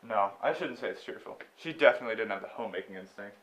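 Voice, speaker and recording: Fast-talking, smug county attorney played by a man. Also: lowish voice, animated, fairly bright tone. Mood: smug, probing. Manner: smug, probing. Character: brisk, condescending male county attorney questioning witnesses. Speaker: stage actor. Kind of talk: amateur stage play dialogue. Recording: dull, muffled stage recording.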